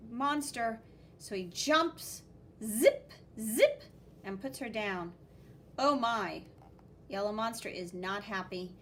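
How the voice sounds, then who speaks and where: Woman, high voice, unhurried storytime teacher delivery, expressive with spoken sound effects, noisy recording. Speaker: art teacher reading a picture book aloud. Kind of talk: animated children's read-aloud with commentary.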